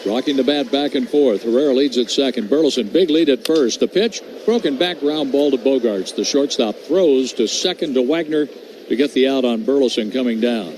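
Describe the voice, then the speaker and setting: A man working as a radio play-by-play announcer, calling baseball pitch by pitch in a brisk, steady way that rises on big plays. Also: a little hiss or hum, rough recording. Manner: brisk, steady calls that lift on hits.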